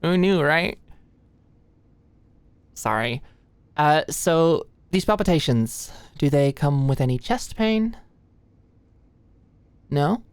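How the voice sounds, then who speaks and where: A low-pitched young female voice, close and very dry, a woman acting as a nervous, awkward medical student in an ASMR roleplay. She is attentive but hesitant and easily flustered, tripping over her words. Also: expressive, slow pace, long pauses, clear, resonant tone, dry recording. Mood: careful, nervous. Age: young adult. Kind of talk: ASMR roleplay, intimate scripted one-sided dialogue. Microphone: close studio-style mic, dry.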